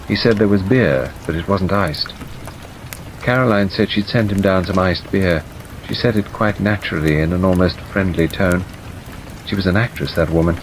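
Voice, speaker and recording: A man with a fairly low voice reads a scripted story as an audiobook narrator. His delivery is that of dramatic narration. A light wash of rain runs behind the voice. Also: low-quality recording. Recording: steady rain noise over the voice. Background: rain ambience.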